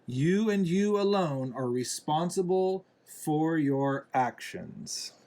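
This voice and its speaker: A man reading a children's picture book aloud in a deliberate way, pausing between phrases. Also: a little high, expressive, warm tone. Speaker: reader, parent reading to a young child.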